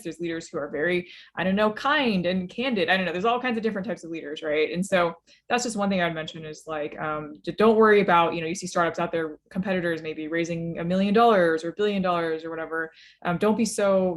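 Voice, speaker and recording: A woman in her thirties talks fast and casually, dropping frequent fillers as she gives advice on a webinar panel. Her voice sits a little low. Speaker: hedge fund founder on a webinar panel. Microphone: webinar call microphone.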